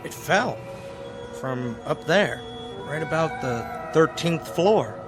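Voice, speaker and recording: An actor playing a blunt, callous sheriff in a radio drama, clipped and deadpan. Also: male voice, on the high side, takes it slowly, over music. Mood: dismissive.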